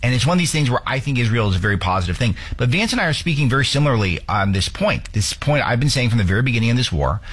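A man's voice: medium pitch, lively intonation, rapid-fire, hardly any pauses, fairly bright tone, breathy edge. A man from the United States hosting a talk show, giving opinionated political commentary with earnest, stumbling restarts.

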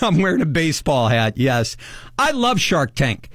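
Male radio host, upbeat and joking, fairly high voice, dry studio, faint music bed. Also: big pitch swings, fairly bright tone, no room sound. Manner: upbeat, joking, quick questions. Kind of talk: radio interview.

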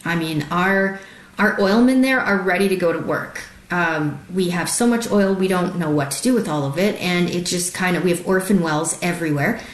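Low-voiced woman from Alberta, echoey. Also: normal speed. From Canada, Alberta. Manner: earnest, rambling, thinks aloud. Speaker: guest. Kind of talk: casual talk-show chat.

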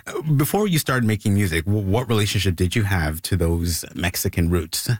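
A man with a very low voice asks interview questions and nods along with short replies. He stumbles over a few words. The sound is dry and close. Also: expressive, normal speed, dry recording. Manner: stammers on some questions, brief acknowledgments.

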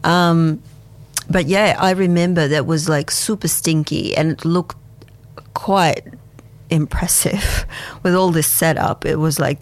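A woman speaks as an interview guest. Her voice sits very low and she talks slowly. She thinks aloud as she goes, with plenty of fillers and restarts. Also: no room sound. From Kazakhstan and Russia. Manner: reflective, unhurried, with many fillers and restarts. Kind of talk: conversational interview answers.

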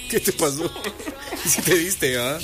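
A man's voice: fairly high pitch, sing-song, slow pace, music in the background. A Guatemalan man co-hosting a radio show, chatty and playful, dishing celebrity gossip and laughing often. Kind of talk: lively gossip banter.

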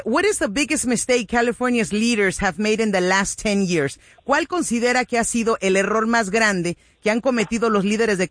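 A woman's voice: mid-range voice, dry recording, clean studio sound. A woman hosting a radio interview, summarizing each answer in Spanish with frequent fillers.

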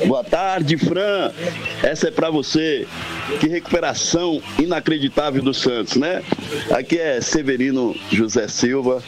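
A man's voice: fairly high pitch, measured pace, over music. A Brazilian man speaking as a radio listener in a friendly personal voice message. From São Paulo, Brazil. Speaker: listener sending audio message.

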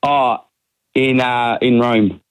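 A man's voice: mid-range voice, fairly even intonation, slow pace, clear, resonant tone, no echo. A man phoning in to a radio quiz, hesitant and full of ums. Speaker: caller. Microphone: phone line. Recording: dull phone line.

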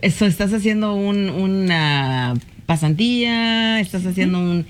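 A woman's voice: medium pitch, measured pace, a little hiss or hum. A woman hosting a radio interview, chatty and upbeat. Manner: chatty, upbeat, uses Mexican slang. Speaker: radio show host.

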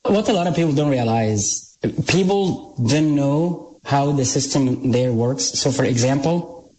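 A middle-aged man, born in Egypt and a native Arabic speaker, tells his stories plainly. He often restarts his sentences and pads them with "like." His voice sits at an ordinary mid pitch. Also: lively intonation, measured pace, a little mellow, low-quality recording. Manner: plain-spoken, frequent restarts and "like". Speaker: guest, former intelligence operative. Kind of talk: storytelling in an interview.

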